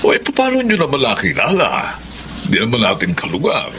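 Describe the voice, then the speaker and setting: A man's voice: mid-range voice, wildly swooping pitch, brisk, pushed and bright, exaggerated voice. An actor playing a protective, worried old grandfather in a Filipino radio drama, with folksy, questioning, hesitant interjections. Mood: curious, suspicious. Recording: faint background noise.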